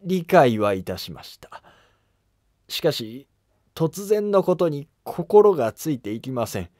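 A man's voice: fairly high pitch, very animated, a little slow, a little mellow, no echo, clean studio sound, pitched above the performer's own voice. A man voicing a deferential, hesitant imperial prince who speaks formally and humbly in a historical drama animation. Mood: hesitant, surprised.

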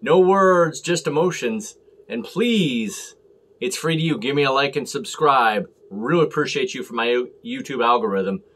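An American man hosting a casual beer review to camera, talking in halting, clipped short phrases. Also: medium pitch, lively intonation, fairly bright tone. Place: small room at home, indoors.

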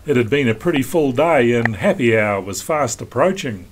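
Male host, steady instructive voice-over, mid pitch, some background noise. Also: normal speed. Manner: calm, instructive. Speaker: sailing vlog host. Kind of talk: scripted voice-over narration. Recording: wind noise on deck.

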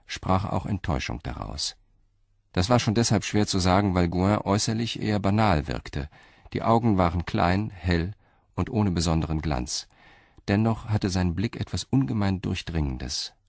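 Deep-voiced male crime narrator. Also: vocal fry, dry recording, studio quality. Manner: calm, even storytelling. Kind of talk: scripted narration of a radio crime play.

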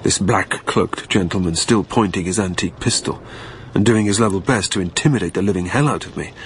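A man's voice: low-pitched, a little fast, slight background noise, close to an everyday voice. An actor playing a weary, anxious hotel guest who narrates his own ordeal in wry, literary phrases for a radio drama. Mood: uneasy, breathless narration. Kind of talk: dramatic first-person narration.

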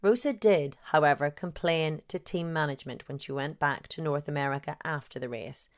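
A woman reads her written feature aloud. Her voice sits low and her delivery stays measured and sober, the steady pace of scripted narration. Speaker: journalist reading her own feature.

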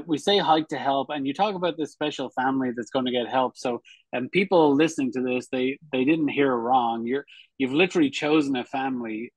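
A man's voice: mid-range voice, no room sound, poor audio. A man in his forties hosting a conversational interview over a video call, chatty and affirming. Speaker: show host, interviewer. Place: room at home, video call.

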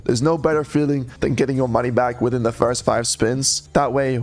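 A young man streaming an online slot game, chatting to viewers and breaking into loud yelps when it pays, though he is sick and losing his voice. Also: mid-range voice, fairly even intonation, brisk. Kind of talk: live commentary over online slot play.

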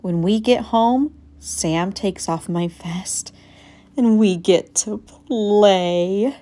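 A woman voicing an eager young male puppy in a storybook, narrating in an earnest, childlike, expressive way. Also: mid-range voice, very slow. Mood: cheerful, affectionate. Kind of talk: storybook read-aloud.

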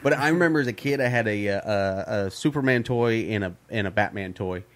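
A man's voice: lowish voice, a little fast. A man co-hosting a casual podcast, rambling with many fillers and casual swearing. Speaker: podcast co-host.

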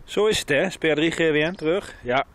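A man's voice: a little high, brisk, a bit bright. Een man met een Nederlands accent die buiten al wandelend ontspannen via de portofoon praat. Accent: Dutch, from the Netherlands. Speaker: amateur radio operator filming while walking his dog. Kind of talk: casual two-way radio chat. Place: outdoors, walking along a canal path by parked cars. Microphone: handheld camera close to him. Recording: outdoor handheld recording with clear background noise.

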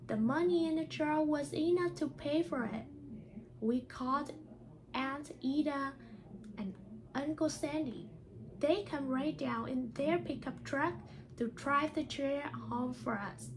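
A woman who speaks English as a second language, talking carefully and haltingly as a teacher doing a read-aloud lesson. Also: high voice, background noise. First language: not English. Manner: careful, halting delivery with stumbles over words. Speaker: teacher reading a picture book aloud.

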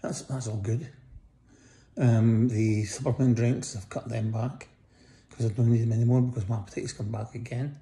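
A middle-aged man with a croaky voice, lying in a hospital bed and casually giving a personal update into a phone held close above him, tired and pausing often. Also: medium pitch, steady tone, dark tone. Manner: lying in bed, tired, talking slowly with many pauses. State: croaky voice after breathing tubes. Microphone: phone held close above face.

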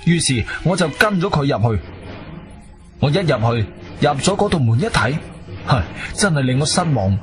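Intrepid adventurer, Cantonese accent, lively intonation, suspenseful storytelling, radio drama, background music. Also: male voice, on the high side, moderate pace. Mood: puzzled, disappointed. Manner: expressive, suspenseful, colloquial delivery. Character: intrepid male adventurer telling his strange experience. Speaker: narrator and lead actor.

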